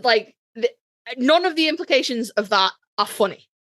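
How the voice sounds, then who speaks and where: A woman with a Yorkshire accent and a fairly high voice that swings widely in pitch. She talks like an opinionated podcast co-host, blunt and sweary, leaning hard on words for drama. The sound is dry and close. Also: expressive, moderate pace, a bit bright, no room sound. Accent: Yorkshire English. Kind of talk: casual opinionated fan chat, ranked countdown.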